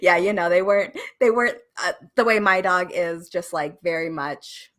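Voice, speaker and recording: A young woman from Oregon, in her twenties, talks through her story in an easygoing, rambling way. She leans on fillers and small laughs. Her voice sits a little low for a woman. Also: normal speed, fairly bright tone. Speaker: guest, podcast producer. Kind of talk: casual conversation interview.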